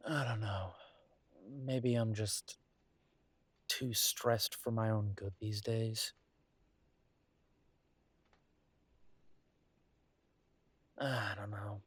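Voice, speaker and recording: Low, unhurried, acted affectionate male werewolf detective. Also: steady tone, slightly rough, dry recording. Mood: relaxed, weary. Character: affectionate, world-weary werewolf detective, male, coaxing his partner. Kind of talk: intimate roleplay audio drama acting.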